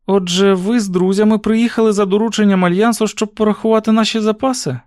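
A man's voice: high-pitched, fairly even intonation, fast talker, a little mellow, no echo, studio quality, voice pushed up, natural voice. A man voicing a spirited, curious young country girl with a guarded, tart streak in an audiobook story. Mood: friendly, curious.

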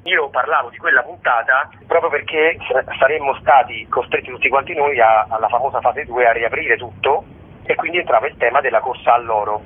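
A man's voice: mid-range voice, brisk, husky. A man giving a conversational radio interview as a storyteller, talking discursively and expressively, with rhetorical asides. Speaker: guest, stage actor and storyteller. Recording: thin phone-line radio sound.

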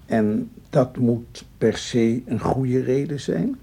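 A man's voice: medium pitch, slow pace, gravelly, warm tone, slightly rough, exaggerated voice. An actor playing a skeptical, sardonic, cautious man in a radio drama, with a dry, argumentative delivery.

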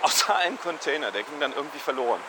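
A man's voice: on the high side, animated, rapid-fire, heavy background noise. A man musing aloud in a casual, loosely told monologue.

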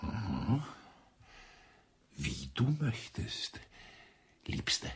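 An actor playing a suave, sinister husband in a radio play, a colonel with many dead wives, husky and languid. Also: male voice, lowish voice, sing-song, extremely slow, exaggerated voice. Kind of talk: radio drama acting.

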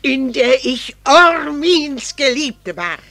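An actress playing a proud, unrepentant middle-aged wife with grave fervour in a 1960s radio drama. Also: female voice, high-pitched, expressive, slow pace, bright, forceful tone, no room sound, heavily acted. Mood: fervent longing. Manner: grave, fervent, solemnly emphatic. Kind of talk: 1960s German-language radio drama acting.

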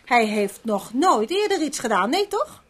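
Een vrouw die in een hoorspel een verontwaardigde, bazige huishoudster uit de volksklasse speelt, mopperend en aandringend. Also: female voice, sits high, big pitch swings, unhurried, breathy edge, full character voice. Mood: suspicious nagging. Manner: scolding, insistent, grumbling. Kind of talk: Dutch radio play acting. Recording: old radio recording with faint noise.

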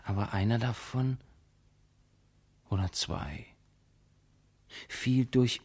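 Husky, muddled, forgetful man. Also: fairly low pitch, a little mellow, heavily acted. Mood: pondering, unsure. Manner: halting, hesitant, pondering to himself. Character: muddled, forgetful man groping uncertainly for memories. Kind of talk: radio drama monologue.

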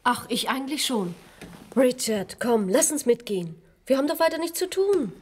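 An actress playing a friendly, soothing wife in a German radio crime drama. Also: female voice, high voice, lively intonation, normal speed, a little mellow, slightly rough. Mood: coaxing. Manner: light, conciliatory, friendly. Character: pleasant, soothing wife charmed by village life.

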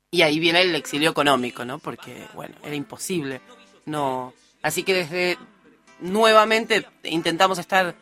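A woman of about forty with a Rioplatense accent and a bright, forward voice. She talks informally as a radio guest, circling through personal asides, with faint music behind her. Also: low voice. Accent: Rioplatense Spanish. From born in Uruguay, raised in Argentina. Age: early 40s. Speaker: interview guest, political candidate. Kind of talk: casual conversational interview. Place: radio studio.

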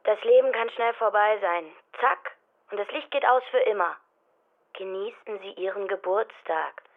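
An actress playing a composed, polite young call centre agent in an audio drama. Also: female voice, mid-range voice, moderate pace, natural voice. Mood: earnest. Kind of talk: audio drama acting as customer service. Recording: dull phone headset sound, faint noise.

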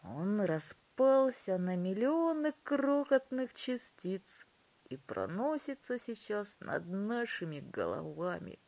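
A woman voicing a gloomy, sighing middle-aged father in a children's story, with a drawn-out grumbling delivery. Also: medium pitch, very animated, a little mellow, no echo.

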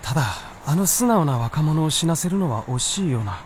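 A woman's voice: low-pitched, animated, fairly quick, a little mellow, a little hiss or hum. A woman voicing an earnest, polite young male fox spirit in a Japanese period radio drama, sincere and deferential. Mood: earnest, uncertain.

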